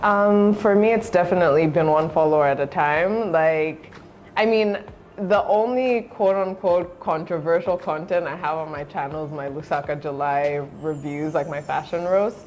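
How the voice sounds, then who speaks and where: Low-voiced young Zambian-raised woman. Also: moderate pace, slight background noise. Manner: relaxed, laughs often, many "like" fillers. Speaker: guest, content creator. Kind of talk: casual interview chat.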